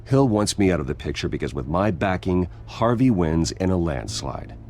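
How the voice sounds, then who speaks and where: An actor playing a guarded, wealthy heir in a video game, with a measured, serious manner. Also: male voice, low-pitched, very animated, darkish tone, slight background noise. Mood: guarded, resolute. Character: wealthy, guarded male heir under public attack.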